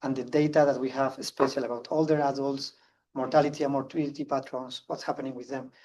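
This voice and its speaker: A man from Spain with a strong Spanish accent, giving an earnest, fast-paced conference talk with frequent restarts. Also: medium pitch, level tone, a little mellow, far from the mic, poor audio. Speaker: conference speaker. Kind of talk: public talk.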